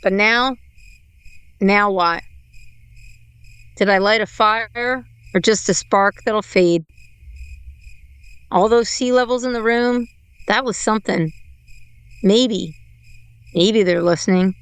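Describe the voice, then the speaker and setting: Woman acting tired tech lead lady brooding to herself, slow, clean smooth voice, radio drama. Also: mid-range voice, no room sound. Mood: brooding, doubtful, then tentatively hopeful. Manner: halting, introspective monologue in short fragments. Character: weary, self-questioning woman tech team leader brooding alone. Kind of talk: amateur radio play monologue.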